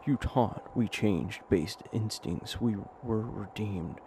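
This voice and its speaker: A solemn ancient inscription, read in a lowered, dragging voice as a man puts it on. Each phrase comes out grave and measured over a clear bed of game ambience noise. The tone is ceremonial. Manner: slow, grave recitation.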